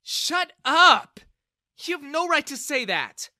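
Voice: medium pitch, sing-song, measured pace, bright, forceful tone, no room sound, studio quality, voice pushed up. A narrator voicing an earnest, feisty and protective young woman, a loyal daughter, in an audio story. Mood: angry.

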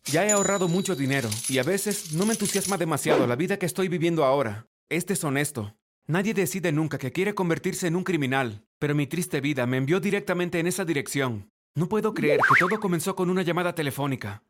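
A man's voice: a little high, animated, quick pace, background noise. A man reading a scripted first-person story as narrator.

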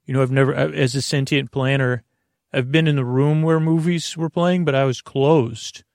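Creaky-voiced man, rambling sleep podcast host. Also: medium pitch, fairly even intonation, a little mellow, dry recording, studio quality. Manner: meandering, halting, trailing off and repeating words; silly, goofy tangents.

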